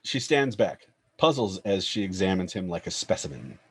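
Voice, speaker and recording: Low, husky man reading stage directions. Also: big pitch swings, moderate pace. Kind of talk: scripted screenplay narration.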